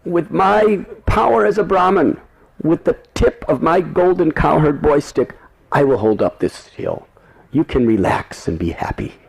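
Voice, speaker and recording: A man playing a cocky young Brahmin cowherd boy, bragging of his powers in a raised, declaiming voice. The tone sits well above his normal talk and has a gravelly edge. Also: a little high, expressive, measured pace, pitched above the performer's own voice, exaggerated voice, poor audio. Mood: reassuring. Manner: proud, swaggering, declaiming.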